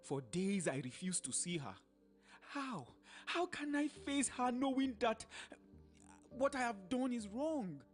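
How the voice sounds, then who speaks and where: A woman's voice: fairly high pitch, big pitch swings, slow, soft background music. A woman with a Nigerian accent playing a guilt-torn hustler who confesses to betraying a lover, in a theatrical radio drama monologue with Pidgin asides. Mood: guilty.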